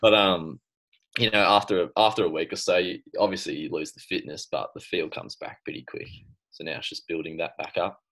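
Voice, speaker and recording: A man's voice: deep voice, fairly quick, a bit bright, low-quality recording. A young Australian man on a video call from his bedroom, chatting casually with lots of fillers and easy laughs. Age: young adult. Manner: laid-back, laughs often, trails off with fillers. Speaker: guest swimmer. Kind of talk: casual chat. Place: bedroom at home. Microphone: laptop webcam on video call.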